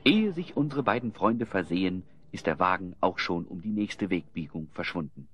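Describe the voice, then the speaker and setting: Deep, animated male storyteller. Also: normal speed, breathy edge. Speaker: narrator. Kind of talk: scripted storytelling narration for an audio drama. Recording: slightly dull sound, music underneath.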